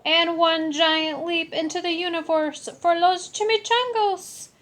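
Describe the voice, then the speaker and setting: A woman reading a children's picture book aloud expressively, deliberately stressing key words. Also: very high voice, steady tone, a bit bright. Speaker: story reader.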